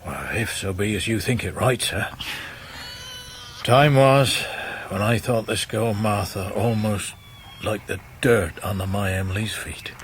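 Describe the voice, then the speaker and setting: Actor as a slow old fisherman. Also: lowish voice, a little hiss or hum, lightly acted. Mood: earnest grief. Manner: slow, earnest, rustic dialect. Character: humble, devoted older fisherman, earnest and plain-spoken. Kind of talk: period radio drama acting.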